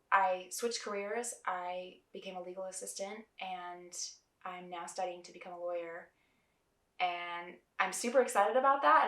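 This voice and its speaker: Singer-songwriter woman, young, documentary interviewee; reflective, measured; echoey room. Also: fairly low pitch, fairly bright tone. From New Mexico. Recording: roomy, distant mic.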